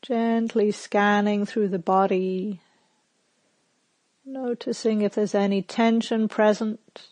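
Female meditation guide: very unhurried, calm and gentle, mid-pitched, long pauses, dry close sound. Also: dry recording. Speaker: meditation teacher.

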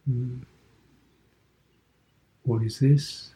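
Slow, mellow man guiding meditation. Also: medium pitch, steady tone. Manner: contemplative, sparse short phrases with pauses, posing gentle questions. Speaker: meditation teacher, Buddhist monk. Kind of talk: guided meditation.

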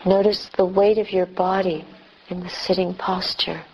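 A woman leading a guided meditation as a teacher, speaking gently and in measured short phrases with long pauses. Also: on the low side, little pitch movement, slight background noise, rough recording. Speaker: meditation teacher, Buddhist nun.

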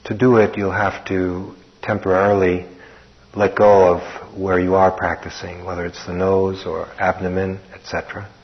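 A man teaching a guided meditation in an unhurried manner, leaving long pauses between short phrases. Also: low voice, little pitch movement. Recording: dull, muffled recording.

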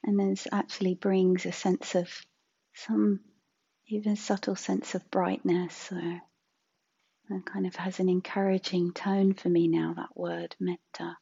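A woman teaching a guided meditation in a soft, breathy, husky voice, gentle and unhurried with long pauses. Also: lowish voice, little pitch movement, highs cut off.

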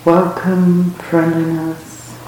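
A woman leading a guided meditation as a teacher, speaking gently and unhurriedly in short phrases with long pauses. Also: lowish voice, completely flat delivery. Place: reverberant indoor room at a retreat. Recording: very echoey room, faint background noise.

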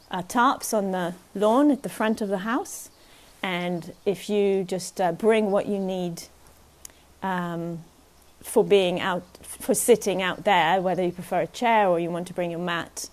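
Woman, meditation teacher at a retreat, gentle and unhurried, keeps checking in with the group. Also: on the low side. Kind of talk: guided meditation talk at a retreat.

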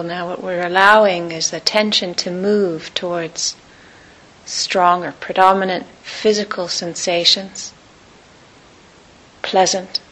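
A woman teaching meditation, guiding listeners in short, unhurried phrases with long pauses between them. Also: on the low side, low-quality recording. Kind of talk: guided meditation.

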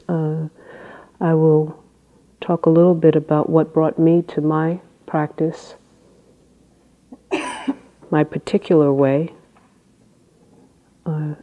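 A woman Zen teacher with a low voice, giving an unhurried dharma talk with halting phrases and long pauses. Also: warm tone, poor audio.

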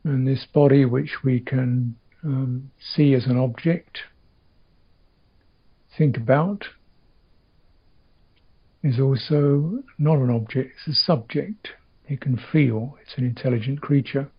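A man teaching a guided meditation in short, reflective phrases with long pauses, his voice gravelly and husky. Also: mid-range voice, dull audio.

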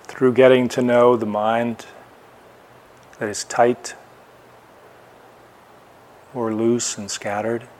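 A man teaching a guided meditation, speaking in short, unhurried phrases with long pauses between them. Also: medium pitch, flat delivery, a little mellow, slight background noise.

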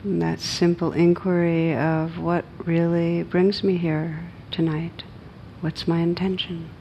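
A low-voiced woman leads a guided meditation with very little rise and fall in her pitch. She gives gentle, unhurried instructions and leaves long pauses between phrases. A steady background noise with a low rumble sits behind her voice. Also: gravelly, lo-fi sound. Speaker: meditation teacher.

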